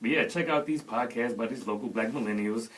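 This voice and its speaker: A man's voice: mid-range voice, brisk, a bit bright, distant mic. A man hosting a casual radio talk show, plugging local podcasts in loose slang. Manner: laid-back, promotional, local slang.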